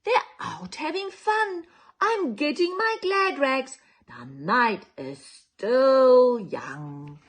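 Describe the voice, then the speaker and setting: A woman voicing a curious, fun-loving female chicken owner from a storybook, expressive and full of wondering exclamations. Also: high voice, extremely slow, exaggerated voice. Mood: excited, resolved.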